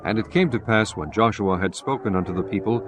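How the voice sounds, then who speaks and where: A man narrating scripture in measured, steady King James English. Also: on the low side. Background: guitar music.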